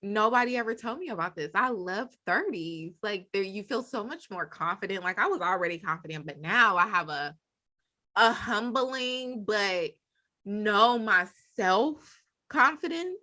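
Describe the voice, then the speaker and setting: Bright-voiced woman in her thirties. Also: medium pitch, unhurried. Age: 30s. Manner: expressive, animated storytelling. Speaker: podcast co-host. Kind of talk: casual podcast chat.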